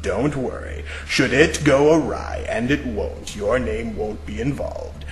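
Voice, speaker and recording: A man playing a cold, scheming corporate scientist in an audio drama, measured, smug and condescending. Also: medium pitch. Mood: dismissive. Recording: roomy echo, music underneath.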